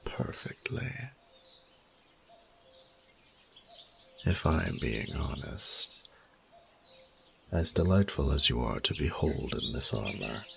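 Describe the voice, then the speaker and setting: A man's voice: background noise, full character voice. A man voicing a huge, proud male dragon in a romantic audio roleplay, doting and teasing, with formal, courtly phrasing and intimate murmurs. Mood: tender, admiring. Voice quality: very deep, gravelly, breathy murmurs.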